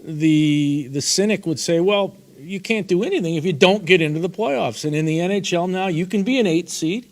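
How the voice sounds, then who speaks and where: A man's voice: high voice, moderate pace, hardly any pauses. Man co-hosting a sports radio chat. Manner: opinionated, folksy sports banter. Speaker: sports talk show co-host.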